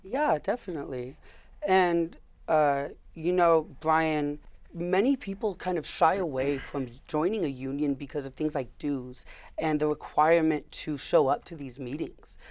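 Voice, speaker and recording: A young man hosting a public affairs interview show, relaxed and loose with fillers and repeated words. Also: fairly high pitch, measured pace, no echo. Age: young adult. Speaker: host.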